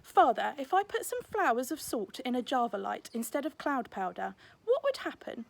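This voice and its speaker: A woman's voice: sits high, sing-song, normal speed, creaky, full character voice. A woman voicing an eager, bold young girl apprentice, earnest and inquisitive, in a storybook. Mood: curious.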